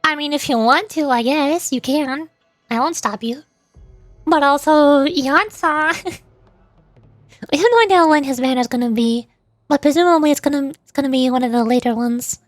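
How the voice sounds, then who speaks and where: High-voiced woman, casual game streamer. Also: normal speed, no echo, studio quality, faint music behind. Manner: rambling, chatting to viewers. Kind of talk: casual livestream commentary.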